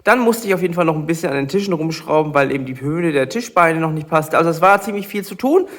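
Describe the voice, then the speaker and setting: A man with a fairly high voice, a little stuffy from a cold. He talks in a relaxed, chatty way and explains every step of his building work to his viewers. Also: fast talker. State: has a cold. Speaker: LEGO builder and channel host. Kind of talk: casual build vlog narration.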